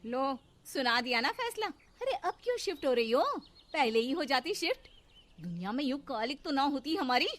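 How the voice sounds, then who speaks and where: A woman's voice: high-pitched, big pitch swings, moderate pace, fairly bright tone, pitched above the performer's own voice. A woman voicing a bitter, resentful middle-aged stepmother who taunts and complains, in a cartoon family drama. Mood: scornful.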